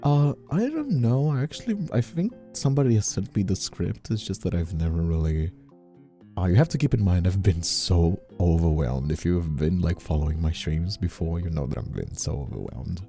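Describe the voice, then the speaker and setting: Male streamer, low and very dark mellow voice, animated rambling delivery, music behind. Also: normal speed. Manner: excitable, rambling, interrupts. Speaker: streamer and voice actor. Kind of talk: casual livestream chat.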